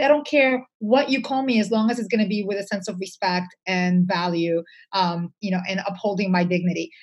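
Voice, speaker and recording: Earnest woman guest with a husky edge. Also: mid-range voice. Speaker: interview guest. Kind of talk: conversational interview answers.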